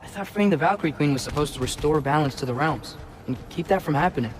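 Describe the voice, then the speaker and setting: Man playing a higher-voiced curious teenage boy. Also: animated, quick pace, natural voice. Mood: puzzled, concerned. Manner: casual, youthful phrasing. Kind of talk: video game voice acting.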